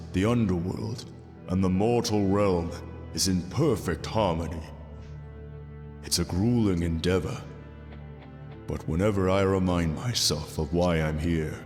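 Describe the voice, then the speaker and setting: A man playing an ancient, benevolent king of the gods in an audio roleplay, speaking to the listener in a formal, measured, fatherly way. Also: low voice. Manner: formal, stately, measured delivery. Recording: long reverberant hall. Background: soft background music.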